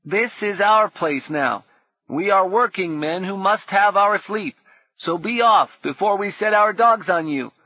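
A man's voice: unhurried, no echo, lo-fi sound. A man voicing a scrappy young boy who talks in cocky slang, bold but frightened. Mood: defiant. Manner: high, cocky slang-tinged talk.

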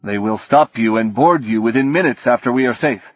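A man playing a firm, loud ship captain. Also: mid-range voice, a little fast, no room sound, close to an everyday voice. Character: gruff, practical ship captain. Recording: dull dry audiobook recording.

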